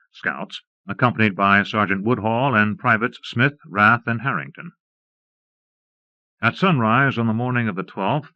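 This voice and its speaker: A man's voice: lowish voice, no room sound. A man reading scripted Western stories and history as an audiobook narrator. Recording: dull lo-fi cassette transfer.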